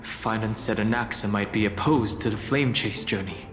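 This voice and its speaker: A man's voice: fairly low pitch, normal speed, lightly acted. Man voicing an earnest young game hero, echoey. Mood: serious, concerned. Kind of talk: scripted game dialogue acting. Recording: echoey, loud music underneath.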